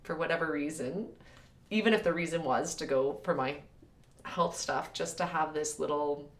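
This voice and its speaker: A raspy, fairly low woman talking in a relaxed, chatty way, laughing easily as she tells stories. Her voice carries a roomy echo, and the mic sits a little away from her. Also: moderate pace, distant mic. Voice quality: raspy, naturally deeper voice. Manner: chatty, laughs often, animated retelling. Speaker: podcast host. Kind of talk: casual chat.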